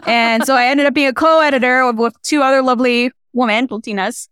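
A young woman filmmaker talking casually in an interview, reflective and halting, with many restarts. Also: sits high, fairly even intonation, fairly bright tone, clean tone, no echo, studio quality. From United States, small-town Illinois. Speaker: filmmaker guest.

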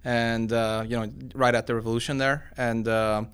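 His voice is smooth and clear, sitting at an ordinary male pitch. He is a man in his forties from Iran who grew up in Sweden. He answers interview questions conversationally, explaining things informally like a researcher turned founder. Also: flat delivery, normal speed, a bit bright. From born in Iran, grew up in Sweden. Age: 40s. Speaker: tech company founder and computer science researcher being interviewed.

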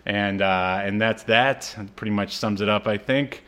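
A man co-hosting a podcast in casual film and TV chat, a native English speaker with a jokey, chuckling, halting delivery. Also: fairly low pitch, sharp tone. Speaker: podcast co-host.

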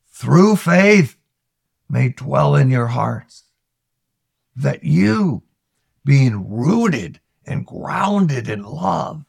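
A man gives a solemn reading of scripture at a very unhurried pace. His voice has a gravelly creak, and he declaims each phrase deliberately with dramatic pauses. The sound is dry, with no room echo. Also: mid-range voice. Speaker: scripture reader.

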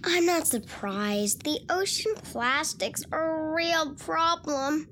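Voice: slow, pushed and bright, slight background noise, full character voice. A performer playing a friendly, chirpy child in a children's undersea audio drama, with a childlike voice. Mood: friendly, casual. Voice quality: very high, childlike.

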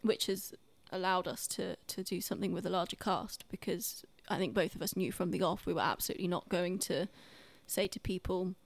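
A woman's voice: lowish voice, fairly even intonation, a little fast, vocal fry, few pauses, no echo. A woman talking casually and earnestly on a podcast, explaining things in an explanatory way.

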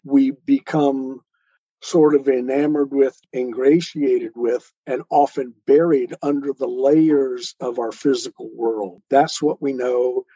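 A man explaining his ideas in a measured, didactic way, building each point step by step, on a slightly dull recording. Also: mid-range voice, steady tone, warm tone, husky, no echo. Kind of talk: explanatory talk on spirituality.

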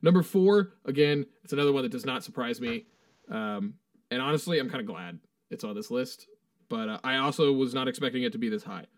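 A man's voice: mid-range voice, animated, a little fast, no echo, far from the mic. A man co-hosting a podcast, chatting loosely and swearing as he reads through a ranked song list. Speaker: podcast co-host. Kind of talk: casual chat.

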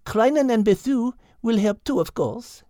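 A woman's voice: fairly high pitch, lively intonation, unhurried, dark tone, no room sound, studio quality. A woman voicing a dainty, clever female cat with a French accent, precise and polite, in a children's story. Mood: earnest, organizing. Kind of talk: character acting for a children's story podcast.

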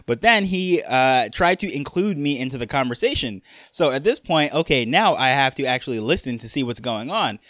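A man's voice: mid-range voice, expressive, normal speed, hardly any pauses, fairly bright tone, dry recording. A young man with a New York accent hosting a casual solo podcast, rambling along with fillers and restarts. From Jamaica, raised in New York. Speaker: podcast host.

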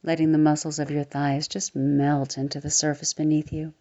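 A woman leads a guided meditation in a low, mellow voice, slow and soothing, her words often dropping into a soft near-whisper. The recording is a little dull and muffled. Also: level tone.

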